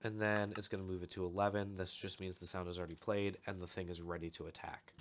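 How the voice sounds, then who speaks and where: A young man with a low voice explains a game setup step by step in an easy, unhurried way. He is just getting over a cold, so his voice sounds a little off. Faint mouse clicks and typing sit behind him. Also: background noise. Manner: relaxed, explanatory, steady walkthrough with fillers. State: recovering from a cold, voice a bit off. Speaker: channel host giving a game tutorial. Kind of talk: casual screen-recorded tutorial.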